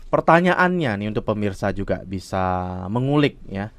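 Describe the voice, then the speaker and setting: A man speaks with a Malay-tinged Sumatran accent, coaching listeners on communication. His explanations swing lively in pitch as he acts out what other people might say. The sound is close and dry, like a studio mic. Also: moderate pace, no room sound. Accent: Malay-tinged Sumatran Indonesian. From Riau Islands, Indonesia. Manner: explanatory, animated, acts out quoted speech. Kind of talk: podcast interview answers.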